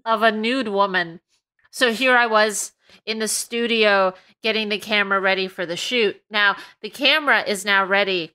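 A woman reading amateur fiction aloud to a comedy panel in a deliberate way. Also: medium pitch, sharp tone. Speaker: podcast reader.

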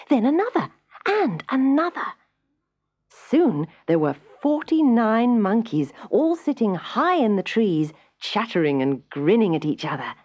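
Lively, slightly husky woman storyteller. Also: medium pitch. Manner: measured storytelling with dramatic emphasis. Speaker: storybook narrator. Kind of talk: expressive scripted children's story narration.

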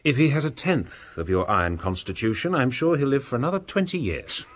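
A man's voice: mid-range voice, lively intonation, a little fast, lightly acted. Man doing courteous bookish houseguest in radio play, formal, up n down melody. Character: polite, reserved scholarly gentleman houseguest.

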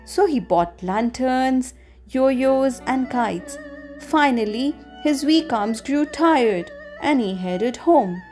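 High-pitched woman reading a children's storybook. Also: animated, slow pace, darkish tone. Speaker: storybook reader. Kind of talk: scripted children's story narration. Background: music.

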